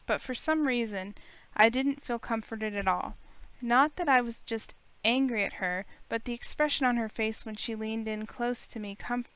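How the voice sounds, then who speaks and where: A woman voicing a grieving high school heroine, bitter yet earnest, in visual novel narration and dialogue. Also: normal speed, a bit bright, smooth, no echo, close to an everyday voice. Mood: uneasy, reflective.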